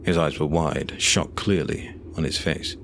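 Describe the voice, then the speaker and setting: Man, extremely low voice, solemn measured fantasy narrator, faint noise and ambience. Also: steady tone, fairly bright tone. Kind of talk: scripted fantasy audio drama narration.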